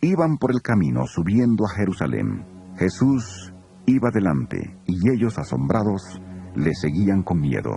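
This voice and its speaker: Deep gravelly male narrator. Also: wildly swooping pitch, a little slow, warm tone, soft background music. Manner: grave, storytelling delivery. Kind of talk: scripted scripture narration.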